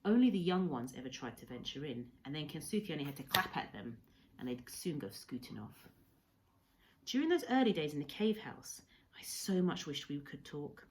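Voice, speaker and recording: A woman reading a storybook aloud like a teacher to pupils, in a measured, expressive storytelling manner. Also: sits low, a little hiss or hum, rough recording. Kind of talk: read-aloud storybook narration.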